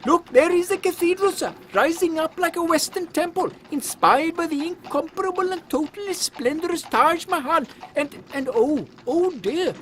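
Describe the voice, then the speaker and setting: A woman's voice: extremely high pitch, animated, normal speed, hardly any pauses, a little hiss or hum. A woman playing a polite, earnest male right-hand man in a comic Victorian audio drama, with formal, flowery speech. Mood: awed, dismayed.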